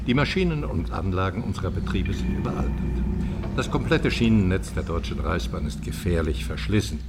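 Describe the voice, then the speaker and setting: An older man playing a charming, bold professor emeritus in a German radio play, speaking in a measured, lecturing, ironic way. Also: on the low side, background noise.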